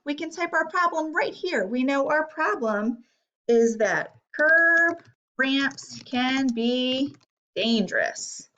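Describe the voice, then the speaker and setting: High, lively woman teaching young children. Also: lo-fi sound. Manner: instructional, thinking aloud with guiding questions. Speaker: elementary reading teacher. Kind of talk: read-aloud lesson for young children.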